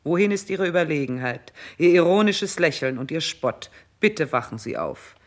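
A woman's voice: low-pitched, sing-song, normal speed. An actress playing a stern, scornful older queen in a 1960s radio play, with speech and an imperious, declamatory delivery. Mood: cold sarcasm. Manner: imperious, biting, declamatory, emphatic enumerations.

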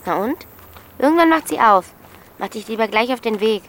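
A woman's voice: a little high, lively intonation, moderate pace, a bit bright, a little hiss or hum. A woman playing a blunt, bossy thirteen-year-old girl in a naturalistic radio drama. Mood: bossy, probing. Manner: blunt, colloquial, questioning.

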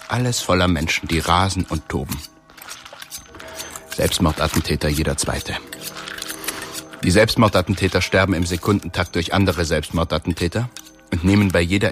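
A grim doom-saying cook. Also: male voice, low voice. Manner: brooding, solemn, apocalyptic. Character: male cook in windowless basement kitchen, grim prophet of doom. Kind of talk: radio play monologue.

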